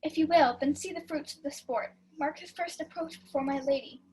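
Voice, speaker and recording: A woman's voice: high voice, brisk, a little mellow, slight background noise, close to an everyday voice, low-quality recording. A young woman acting a sly, mischievous female schemer in a Shakespeare radio comedy, conspiratorial and gleeful. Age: young adult. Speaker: student actor. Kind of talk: Shakespeare comedy as 1940s-style radio play.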